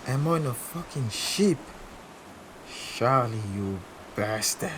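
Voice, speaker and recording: An actor playing a proud, volatile Senegalese champion boxer, wounded underneath, confiding in an audio drama. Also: male voice, medium pitch, lively intonation, slow pace, noisy background. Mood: groggy, dismayed. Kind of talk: audio drama acting with confiding first-person narration.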